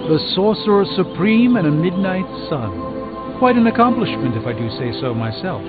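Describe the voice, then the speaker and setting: A man's voice: sits high, very animated, moderate pace, narrow, tinny audio. An actor playing a proud, erudite, dryly witty male sorcerer in a video game, speaking in a formal, wordy way. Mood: wry, pleased. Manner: formal, wordy, self-important. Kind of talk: video game character dialogue. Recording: thin band-limited audio under loud music. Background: game music.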